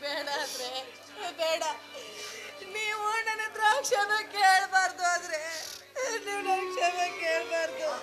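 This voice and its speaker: A woman plays a tearful mother who pleads softly with her husband. Her voice is high and quiet, with music in the background. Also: a little slow. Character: tearful wife Meenakshi, mother of sons.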